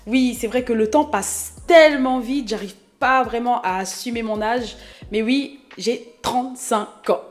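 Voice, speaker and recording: Chatty woman in her thirties, higher voice. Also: unhurried. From Haiti. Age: 30s. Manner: chatty, self-mocking, addresses viewer directly. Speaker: YouTube vlogger. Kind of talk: casual self-introduction to viewers.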